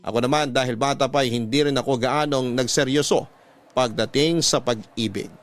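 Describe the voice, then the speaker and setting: A man's voice: mid-range voice, moderate pace, natural voice. A man narrating as an earnest letter writer recounting his love life, in scripted, sentimental radio storytelling style. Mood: sentimental, reflective.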